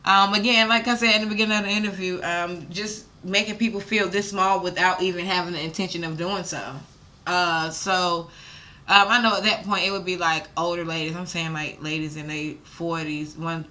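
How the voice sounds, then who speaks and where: A woman's voice: lowish voice, fairly even intonation, moderate pace, bright, forceful tone. A young American woman talking candidly as an interview guest, informal and emphatic with slangy, salty language. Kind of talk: casual candid interview talk.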